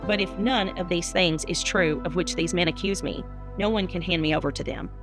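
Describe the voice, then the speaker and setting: A woman's voice: fairly low pitch, brisk, over music. A woman from the United States, a minister, who reads scripture and chats. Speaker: guest minister and Bible reader. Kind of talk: Bible reading and casual chat.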